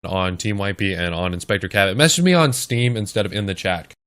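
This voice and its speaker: A man hosting a live esports stream, casting matches in casual chat and talking straight to the viewers, his voice a little hoarse. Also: low voice, fairly quick, a bit bright. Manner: chatty, talks straight to the chat. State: slightly hoarse. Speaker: esports caster and stream host.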